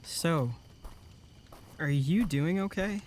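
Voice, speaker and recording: An actor plays an earnest young male camp counselor in an audio drama. He talks slowly in a mid-range voice, protective and a little hesitant, over faint background ambience. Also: medium pitch, a little mellow, slight background noise, natural voice.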